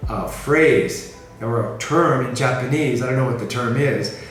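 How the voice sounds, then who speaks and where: A middle-aged American man from California, talking casually to the camera in a measured way and often rephrasing himself. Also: medium pitch. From California, USA. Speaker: freelancer presenting himself. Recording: very echoey room, mic at a distance, music behind.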